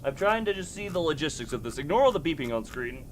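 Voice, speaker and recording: Animated man streaming a game, noisy background. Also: a little high, fast. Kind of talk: casual livestream chat with gameplay commentary.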